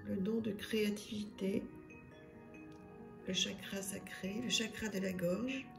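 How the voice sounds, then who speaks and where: A slightly hoarse woman hosting her own channel, reviewing card decks in a soft, hesitant way full of fillers. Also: medium pitch, steady tone, unhurried, echoey room, music in the background. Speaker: tarot and oracle channel host. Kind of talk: casual card deck review.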